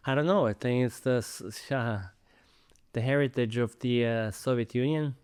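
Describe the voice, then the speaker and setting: A man's voice: medium pitch, moderate pace, a little mellow, clean tone, dry recording, studio quality. A man, a podcast guest talking earnestly in casual conversation and often repeating words as he searches for them. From Ukraine. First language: Ukrainian.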